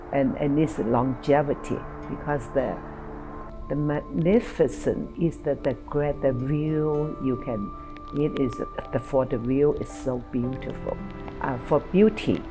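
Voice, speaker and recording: Older woman, halting speech. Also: extremely low pitch, poor audio. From China. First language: Toisanese. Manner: halting, searching for words. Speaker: interviewee. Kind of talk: documentary interview.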